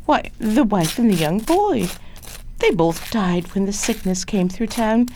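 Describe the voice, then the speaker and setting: A woman's voice: medium pitch, very animated, measured pace, dark tone, a little hiss or hum. A woman playing a chatty, motherly, gossipy housekeeper with a folksy manner in an audio drama.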